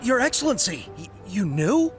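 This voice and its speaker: A woman's voice: mid-range voice, sing-song, normal speed, natural voice. A woman playing a loyal, zealous soldier in a video game, earnest, emotional and deferential to a superior. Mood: startled. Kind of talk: English video game voice acting. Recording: clear music bed under the voice.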